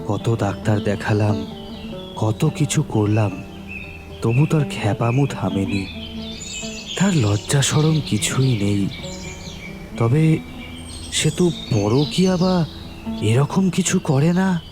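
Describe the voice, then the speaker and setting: A man playing an older, well-off gentleman in an audio drama, courteous yet defensive and disdainful, speaking deliberately. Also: medium pitch, animated, music in the background.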